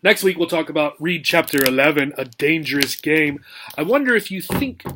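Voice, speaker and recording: A man reading a children's chapter book aloud as a teacher, expressively, with deliberate dramatic emphasis and pauses. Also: a little high, lively intonation, noisy background. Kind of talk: expressive children's audiobook narration.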